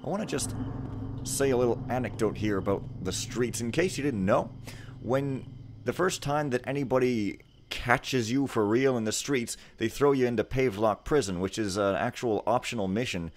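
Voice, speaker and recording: A man's voice: mid-range voice, moderate pace, slight background noise. A man hosting a let's play, chatting casually over gameplay in a rambling, joking, laid-back way.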